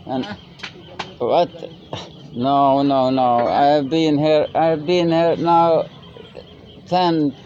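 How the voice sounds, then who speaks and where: A man's voice: fairly high pitch, little pitch movement, a little mellow, a little hiss or hum. Middle-aged Norwegian man, non-native English, hesitant restarts, dull outdoor recording. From Norway. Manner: halting, searching for English words with many restarts. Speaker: vlogger. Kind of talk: casual chat. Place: outdoors by a river.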